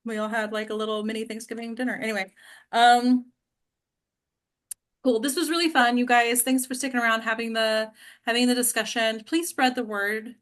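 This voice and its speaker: A woman's voice: a little high, fairly even intonation, normal speed, a bit bright. A woman hosting an acting class discussion in a casual, informal and encouraging way.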